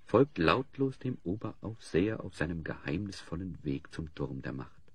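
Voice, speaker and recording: A man's voice: deep voice, sing-song, normal speed, slightly rough. A man narrating a fantasy audio drama in a scripted storytelling style.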